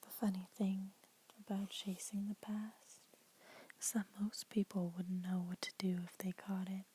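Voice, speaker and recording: A woman's voice: mid-range voice, completely flat delivery, a little slow, slight background noise, low-quality recording. A young woman whispering throughout, reading poems aloud for an ASMR video. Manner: soft unvoiced whisper throughout; casual, chatty intro with fillers. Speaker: ASMR creator.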